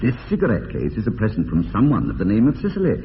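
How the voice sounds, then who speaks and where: Arch, teasing English gentleman, tinny radio sound. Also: lowish voice, wildly swooping pitch, quick pace. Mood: dry teasing. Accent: English upper-class. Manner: arch, teasing, mock-earnest, polished phrasing. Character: idle, witty, teasing young upper-class English bachelor. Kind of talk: radio drama acting of period comedy. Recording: tinny band-limited radio, long reverb, hiss.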